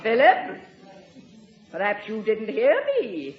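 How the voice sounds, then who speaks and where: An actress playing a pompous, indignant old great-aunt in a 1950s radio sitcom, bossy and blustering. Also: female voice, on the high side, big pitch swings, slow. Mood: outraged. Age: older. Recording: dull, roomy old radio recording, audience.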